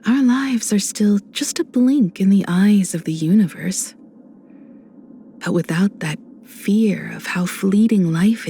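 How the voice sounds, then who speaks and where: An actress voicing a composed adult woman space traveler in a video game, a warm mentor who speaks in a measured, reflective, gently teasing way. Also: lowish voice, slight background noise. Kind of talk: video game character voice lines.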